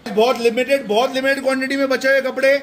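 Young shop guy with a really high flat voice listing prices over and over on his phone in a big store, faint hum behind. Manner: repetitive rapid-fire price listing. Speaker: shop salesman. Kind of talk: promotional sale walkthrough. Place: large indoor shoe and sportswear store.

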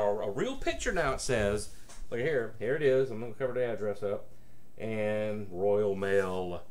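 A man in his forties chatting casually to a webcam as a vlogger, enthusiastic and rambling, with halting pauses. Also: mid-range voice. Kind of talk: casual excited unboxing vlog chat. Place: small room at home, indoors. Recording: noisy room with air conditioner hum. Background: air conditioner hum.

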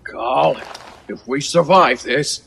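A man's voice: a little high, takes it slowly, creaky, noisy background. A man playing a nervous, long-suffering news bureau chief in an audio drama, fretful and exasperated.

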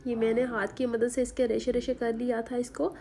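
A woman, hoarse from a sore throat, giving a chatty home cooking voice-over with many fillers. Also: a little high, flat delivery, a little fast, dark tone. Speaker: cooking channel host. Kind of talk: recipe voice-over. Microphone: voice-over recorded separately.

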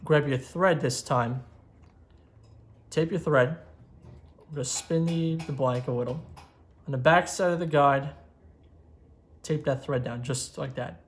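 A man's voice: on the high side, measured pace, long pauses. A man in his twenties giving a step-by-step tutorial at an indoor workbench, recorded on a lapel mic. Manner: steady step-by-step instruction. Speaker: magazine editor giving a tutorial. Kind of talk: how-to tutorial.

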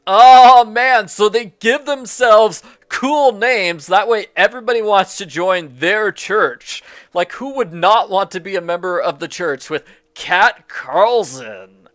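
A man's voice: high voice, slow, fairly bright tone, no room sound. A man giving casual, jokey game walkthrough commentary, musing aloud as he plays.

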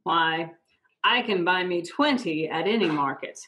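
A young woman reading the part of Lady Macduff, a bitter, sharp-tongued noble mother, in a slow, theatrical home play reading over a video call. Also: on the low side, lively intonation. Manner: slow, theatrical line reading with wide swings. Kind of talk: amateur read-aloud of Shakespeare. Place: room at home. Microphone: laptop mic on a video call. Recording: dull lo-fi video call audio.

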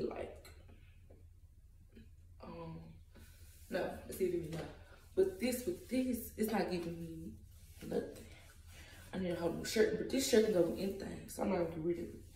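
A woman's voice: medium pitch, warm tone, rough recording. A young woman vlogging casually from a large store on a handheld phone, chatty and slangy, sometimes dropping to a whisper. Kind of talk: casual vlog chat. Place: indoors in a large retail store. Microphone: phone held at arm's length. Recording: busy store background noise.

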